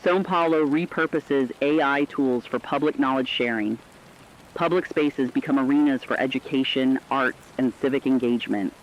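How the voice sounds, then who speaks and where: Male correspondent played by a man for apocalyptic radio drama: medium pitch, measured, earnest, dull lo-fi broadcast sound. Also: close to an everyday voice. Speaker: co-anchor in a fictional radio broadcast. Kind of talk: scripted radio news narration.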